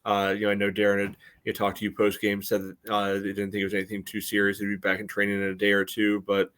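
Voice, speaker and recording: Deeper voiced guy on a sports podcast, casual analysis, rambly w lots of you knows. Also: monotone, fast talker, a bit bright. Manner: hesitant, loosely phrased, peppered with "you know".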